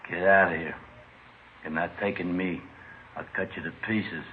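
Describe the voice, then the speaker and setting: An actor playing a drunken, cornered murder suspect, full of menace, in a 1950s radio drama. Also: male voice, sits low, fairly even intonation, moderate pace, natural voice. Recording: muffled, faintly noisy vintage radio recording.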